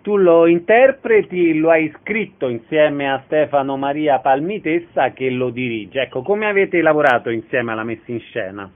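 A man's voice: on the high side, animated, moderate pace, hardly any pauses. A man presenting and interviewing as a radio host, with scripted announcements. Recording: narrow, phone-like radio sound.

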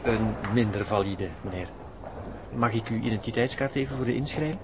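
A man's voice: lowish voice, a little fast, natural voice. Courteous Flemish hotel receptionist played by a man, noisy backdrop. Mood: polite, procedural. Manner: courteous, chatty. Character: helpful, chatty, polite male hotel receptionist. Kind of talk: audio drama acting. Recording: clearly noisy ambient backdrop.

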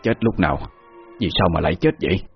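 Man doing a low, hushed old village father. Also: fast talker, gravelly. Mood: worried, hushed. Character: aging village father, a worried burial master. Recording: muffled lo-fi with faint music.

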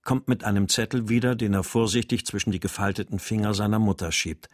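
Low-voiced, grim man, acted in a crime drama. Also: steady tone, fast talker, no room sound, clean studio sound, natural voice. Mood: controlled. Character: grim, determined father searching for his missing daughter. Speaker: actor narrating a crime radio play. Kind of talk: scripted first-person narration and dialogue in a crime audio drama. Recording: music behind the voice.

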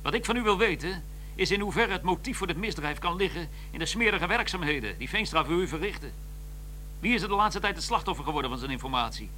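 An actor playing a sharp, sarcastic police inspector who questions a man in a radio drama. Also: high voice, animated, fast, slight background noise. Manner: sarcastic, probing. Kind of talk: 1990s radio drama acting.

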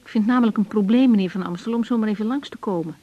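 An actress playing a young woman doctor in a naturalistic radio drama, frank and reflective, speaking in a measured, intimate, sometimes hesitant way. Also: medium pitch, lo-fi sound, close to an everyday voice. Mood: polite, hesitant. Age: young adult. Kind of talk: naturalistic Dutch radio drama acting with diary monologue.

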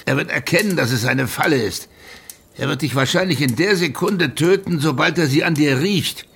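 An actor playing a blunt, exasperated police official in a German radio play, with a gravelly voice. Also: male voice, a little high, normal speed, fairly bright tone, exaggerated voice. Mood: exasperated warning. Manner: blunt, urgent. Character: gravelly, exasperated male police official warning his friend.

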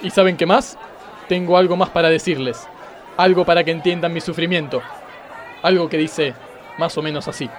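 A man's voice: a little high, fairly even intonation, normal speed, long pauses, lightly acted. An Argentine man playing a hapless, self-pitying judge in a radio comedy sketch. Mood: sorrowful. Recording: background music and crowd noise.

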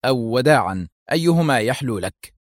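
A man's voice: mid-range voice, quick pace, dry recording, clean studio sound, pitched above the performer's own voice, natural voice. A man acting a fiery, defiant intelligence officer. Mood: firm, defiant. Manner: indignant, firm, clipped questions and demands.

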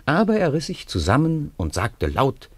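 A man narrating a 1950s radio play in an expressive storytelling manner. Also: mid-range voice, normal speed, slightly rough, no room sound. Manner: expressive storytelling with lively intonation.

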